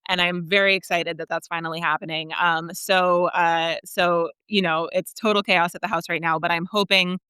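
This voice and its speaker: A woman's voice: on the low side, fairly even intonation, moderate pace, hardly any pauses, pushed and bright, clean tone, no echo. A woman talking as a guest author in a conversational interview, chatty and earnest.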